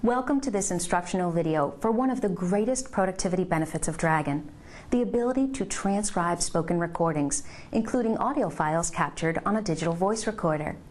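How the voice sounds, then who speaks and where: A woman reading scripted instructional narration in a measured, step-by-step way, as a presenter of a product tutorial. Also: lowish voice, darkish tone.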